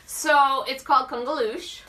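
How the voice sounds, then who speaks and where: Female co-host, bright and slightly high, bubbly informal chat, a bit away from the mic. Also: steady tone, unhurried. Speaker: talk show co-host. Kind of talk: casual chat, demonstrating a drink recipe. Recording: voice some distance from the mic.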